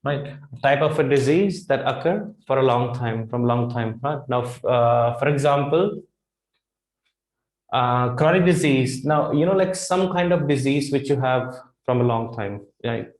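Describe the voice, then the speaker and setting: A man with an Indian English accent teaches vocabulary in a classroom that rings with echo. His voice sits at an ordinary middle pitch. He says each phrase slowly, often twice, and keeps asking "right?". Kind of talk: whiteboard vocabulary lesson. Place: classroom in front of a whiteboard. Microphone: lapel mic. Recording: echoey classroom.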